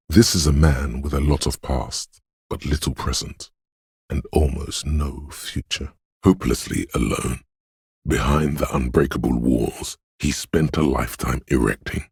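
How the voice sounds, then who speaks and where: Very deep, raspy, brooding inner voice. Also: male voice, no room sound, clean studio sound, heavily acted. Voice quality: deep, gravelly, husky. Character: inner voice of intuition, brooding, dreamy, ominous and mysterious. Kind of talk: scripted second-person video game narration.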